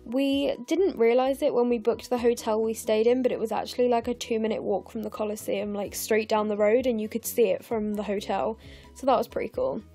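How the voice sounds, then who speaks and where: Croaky young english girl rambling about her craft project late at night, kinda highish mellow voice, faint music behind. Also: fairly even intonation, brisk. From England. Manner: chatty, rambling, frequent fillers. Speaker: scrapbooking vlogger. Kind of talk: casual voice-over narration of a craft process. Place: home, recorded late at night.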